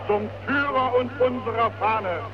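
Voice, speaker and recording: A man's voice: extremely high pitch, measured pace, crowd noise. An actor playing a boy who solemnly recites an oath in a radio drama. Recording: very noisy, dull radio-like sound.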